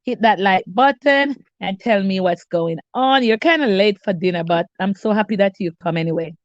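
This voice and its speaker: A woman's voice: mid-range voice, normal speed, dry recording. Jamaican lady on a cooking live stream, stuffy nose, chatty, dull dry sound. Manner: chatty, greets viewers by name, frequent restarts. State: stuffy, congested. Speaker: cooking channel host. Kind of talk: casual live-stream chat with viewers.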